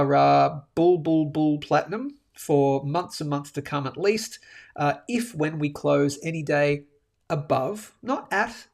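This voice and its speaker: A man hosting a live chart-analysis show, animated and a bit sniffly, tagging his points with "okay?". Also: on the high side, gravelly. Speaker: host of a daily live precious-metals show. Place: indoors, a different room than usual.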